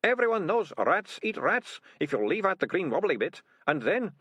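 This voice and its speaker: A storybook rat-catcher, high-voiced and full of guilt. He whines and moans his colloquial excuses, stumbling over words in a husky, swooping voice, recorded dry. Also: very animated, moderate pace, a bit bright, dry recording, exaggerated voice. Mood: whining, defensive. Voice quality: high-pitched moaning voice. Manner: stammering, colloquial, self-justifying. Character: panicky, guilty, whining male deputy rat-catcher.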